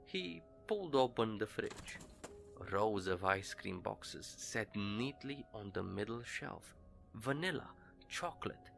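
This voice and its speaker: A man tells a scripted horror tale in a low, gravelly voice, taking his time over every line. His delivery stays measured and ominous, with faint music running underneath. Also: fairly bright tone. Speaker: storyteller. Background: faint music bed.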